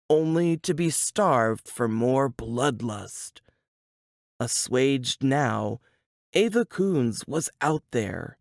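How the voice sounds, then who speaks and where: A woman reading a suspense novel aloud in a dramatic, deliberate storytelling manner. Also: extremely low pitch, no room sound, clean studio sound. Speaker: author reading from their novel.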